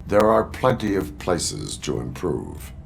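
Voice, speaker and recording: A man playing a stoic middle-aged cyborg policeman in a video game, speaking in a clipped, formal, deadpan way with a gravelly voice. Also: low voice, takes it slowly, a little hiss or hum, heavily acted. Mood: flat, stoic.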